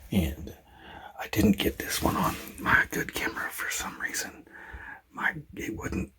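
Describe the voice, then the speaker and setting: A man whispering a hunting vlog outdoors, hushed, rambling and excited. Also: unhurried. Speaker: hunter vlogger.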